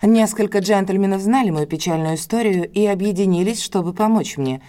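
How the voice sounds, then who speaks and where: A woman's voice: mid-range voice, brisk, warm tone, natural voice. An actress playing a proud, guarded, defensive woman in an audio drama, speaking formally and curtly. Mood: reserved. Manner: formal, curt, indignant.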